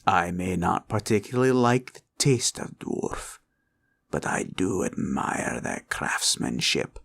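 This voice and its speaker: A man voicing a sly, greedy green dragon villain in a fantasy roleplay, with a husky growl and taunting, drawling delivery. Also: low voice, big pitch swings, fairly bright tone, heavily acted. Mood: smug, gloating. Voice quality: gravelly, husky, breathy growl. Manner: slow, drawling, taunting, archaic turns of phrase.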